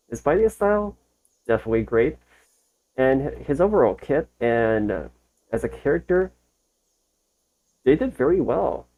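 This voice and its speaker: A man's voice: mid-range voice, mellow, low-quality recording. A man hosting a reaction video with casual commentary in an informal, enthusiastic, slangy way.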